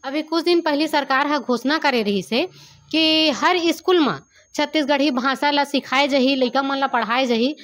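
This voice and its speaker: A woman with a Chhattisgarhi accent puts questions to her guest in a high, light voice. She sets up each question in a calm, measured way before asking it. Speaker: interviewer. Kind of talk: interview questions.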